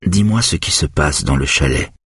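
A man doing a soft-spoken hypnotist. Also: extremely low pitch, lively intonation, husky, no room sound, studio quality, exaggerated voice. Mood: soothing. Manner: soft, slow, soothing. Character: calm, soft-spoken male hypnotist doctor.

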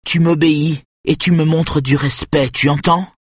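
A man's voice: medium pitch, expressive, normal speed, slightly rough, dry recording, studio quality, voice pushed up. A man voicing a cruel, weary, domineering woman who demands family obedience, with a stern, accusing manner in an audiobook. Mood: stern, impatient. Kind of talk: audiobook character acting.

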